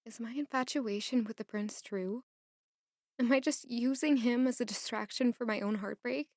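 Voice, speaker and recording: An actress plays a young maid in a fantasy audio drama, anxious and smitten. Her voice is high and recorded close and dry. She speaks earnestly, with hesitation and self-doubt. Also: moderate pace, a little mellow, dry recording, lightly acted. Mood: wistful, introspective. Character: young palace maid, lovestruck, unsure, anxious woman.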